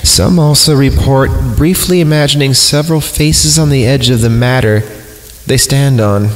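A man's voice: medium pitch, normal speed, poor audio. A man hosting a horror podcast, reading stories aloud in an informal, self-amused way with casual asides. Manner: informal, self-amused, breaks off to comment and chuckle. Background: music under the voice.

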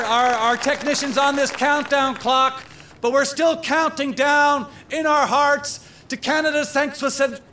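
An actor playing a flustered, placating emcee in a satirical audio drama, halting and repeating himself. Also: male voice, very high-pitched, bright, forceful tone, a little hiss or hum, full character voice. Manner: halting, stammering, repetitive. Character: flustered, placating male emcee of a national countdown party.

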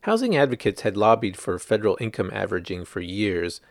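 A man's voice: fairly low pitch, sing-song, brisk, dry recording, studio quality. A man reading a news article aloud as a scripted narration, in the manner of a reporter presenting a written story.

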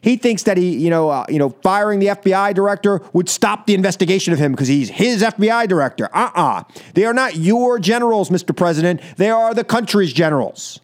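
A high-voiced American man hosts a political radio show. He delivers his opinions as an emphatic, scolding monologue. His points come in short phrases that he repeats for force. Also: animated, fairly quick, hardly any pauses. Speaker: radio talk show host.

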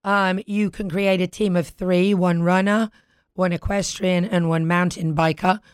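Husky British woman hosting, close and dry. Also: on the low side, fairly even intonation, measured pace, no room sound. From Britain. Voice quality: husky, recovering from voice loss. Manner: playful, bantering. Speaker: talk show host. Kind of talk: casual chat with show announcements.

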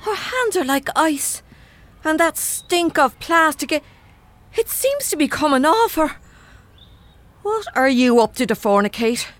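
No-nonsense Irishwoman, Irish accent, fairly high voice, animated intonation, blunt, grumbling, radio comedy. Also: a little slow, long pauses, no room sound. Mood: suspicious. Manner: blunt, complaining, colloquial. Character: blunt, suspicious, no-nonsense Irish woman, reluctant time traveller. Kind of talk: comedy audio drama acting.

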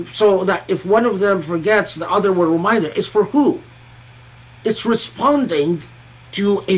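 Guy from egypt and kuwait doing a religious lecture, pretty high voice, really slow and halting, lots of pauses. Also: poor audio. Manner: halting, thoughtful delivery with repeated words and long pauses. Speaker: religious scholar giving Quranic commentary. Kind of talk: informal lecture commentary on scripture.

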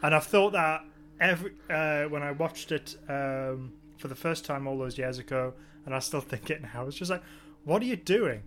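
A man's voice: a little high, moderate pace, a little hiss or hum. A man chatting casually on a podcast, complaining sarcastically about a TV episode. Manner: exasperated, sarcastic, animated complaining.

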